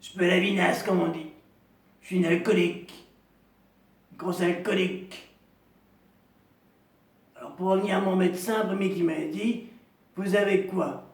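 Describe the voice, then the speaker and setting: A man playing a lonely, proud woman in her fifties, a chain-smoking heavy drinker with a deep, gravelly voice who rambles slowly and repeats herself in a comic monologue. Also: fairly even intonation, reverberant, recorded from a distance. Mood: rambling. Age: 50s. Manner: slow, rambling, repetitive, self-pitying.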